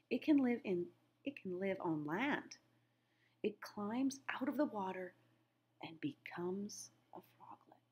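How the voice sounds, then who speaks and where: A woman's voice: mid-range voice, a little mellow, slightly rough. A middle-aged woman teacher reading a children's nature book aloud, phrasing things simply and putting questions to young listeners.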